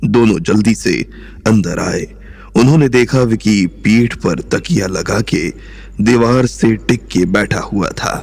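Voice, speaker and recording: A man narrating a romantic crime audio drama in a measured storytelling manner. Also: fairly low pitch, creaky, a little mellow, no echo.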